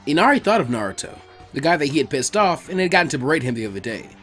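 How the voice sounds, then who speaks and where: Male narrator, lively pitch swings, quick pace, scripted fan fiction reading, faint music.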